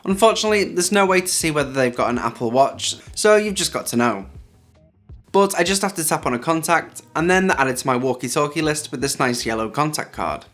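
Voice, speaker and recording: A man's voice: on the high side, lively intonation, brisk, a bit bright. A man hosting a tech video, narrating a scripted hands-on review. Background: background music.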